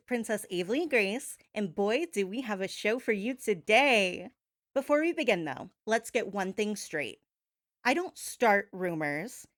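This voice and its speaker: A woman playing a sassy, teasing radio gossip host, speaking in a dramatic, conspiratorial and coaxing way, with drawn-out emphasis that turns hypnotic. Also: fairly high pitch, no echo, clean studio sound, lightly acted. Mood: sassy, theatrical. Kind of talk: talk-show gossip monologue blended with hypnosis induction.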